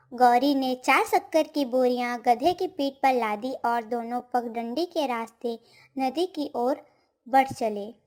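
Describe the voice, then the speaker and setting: A woman reading a scripted storybook narration for a moral tale. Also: high voice.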